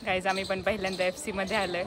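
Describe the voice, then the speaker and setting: A woman's voice: medium pitch, level tone, fast talker. A woman chatting casually as she hosts a vlog. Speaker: vlogger, host. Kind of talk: casual vlog chat to camera and companions. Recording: crowd chatter and outdoor campus noise.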